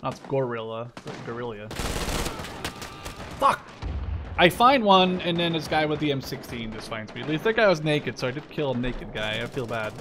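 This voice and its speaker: A man's voice: mid-range voice, expressive, normal speed. A young man giving casual, rambling commentary while playing a shooter game, swearing now and then. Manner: rambling, swears when killed. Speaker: gameplay commentator. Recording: game gunfire noise behind voice. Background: loud gunfire and artillery from the game.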